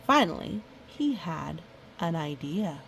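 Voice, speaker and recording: Slow, expressive woman storyteller. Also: sits low, vocal fry, a little hiss or hum, rough recording. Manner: expressive, exclaiming, addresses young listeners. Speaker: picture-book read-aloud host. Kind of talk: children's storybook narration with chatty asides.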